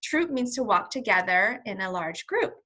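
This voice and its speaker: A woman reading a children's story aloud as a first-grade teacher, expressive and instructional, pausing to explain words simply. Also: medium pitch. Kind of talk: children's read-along with vocabulary explanations.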